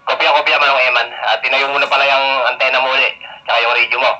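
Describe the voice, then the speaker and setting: A man's voice: mid-range voice, level tone, fast talker. A man chatting informally as an amateur radio operator, using radio call phrases over a two-way radio link. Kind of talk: casual two-way radio chat. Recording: dull lo-fi two-way radio with noise.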